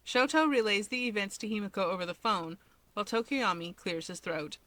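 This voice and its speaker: A woman's voice: medium pitch, a little fast, fairly bright tone. A woman reading fiction aloud as scripted narration, telling the story expressively. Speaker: fan fiction reader.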